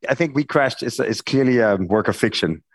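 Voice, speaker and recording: A man's voice: medium pitch, lively intonation, normal speed, husky, no echo, studio quality. A middle-aged man with a Danish accent, a cofounder speaking in a panel conversation, rambling with frequent restarts. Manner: rambling, hesitant, many restarts. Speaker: architect and company cofounder.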